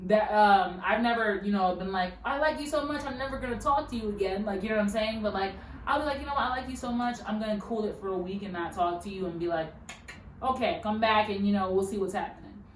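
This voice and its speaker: Brief: woman host, loud and direct, casual chat, recorded far from the mic in a roomy space with faint background hum. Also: mid-range voice, fast. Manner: loud, direct, animated storyteller. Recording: echoey room, mic far off, faint ambience.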